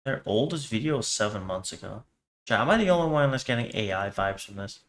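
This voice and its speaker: A man's voice: lowish voice, lively intonation, fairly quick, fairly bright tone. A man giving casual, off-the-cuff reaction commentary while chatting to his livestream audience.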